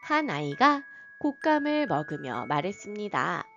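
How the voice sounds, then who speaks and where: Slow, gentle woman storyteller. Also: mid-range voice, sing-song. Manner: gentle, lilting. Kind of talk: soft bedtime story narration. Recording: slightly dull, soft music behind.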